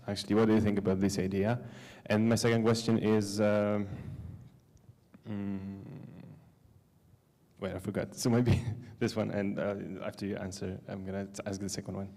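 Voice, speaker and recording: A man with a Polish accent asking a question from the audience, haltingly searching for words in a reverberant hall. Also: on the low side, a little mellow. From Poland. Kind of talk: hesitant spoken question. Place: large conference hall. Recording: long hall reverb from conference sound system.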